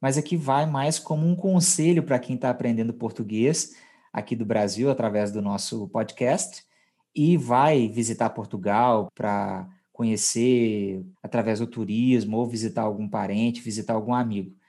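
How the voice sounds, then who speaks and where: A man's voice: medium pitch, moderate pace, mellow. A Brazilian man hosting a podcast language lesson, explaining conversationally and checking in with his co-host. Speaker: podcast host teaching Portuguese.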